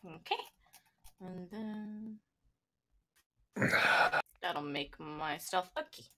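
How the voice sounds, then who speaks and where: A woman's voice: lowish voice, very slow, a bit bright. A woman playing a tabletop game, trading casual banter with the group.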